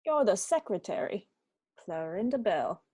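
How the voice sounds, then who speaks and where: A woman's voice: mid-range voice, big pitch swings, measured pace, mellow, husky, no echo, clean studio sound. A woman playing a probing, sardonic police captain in an amateur radio-style mystery. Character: brisk, wry police captain questioning suspects.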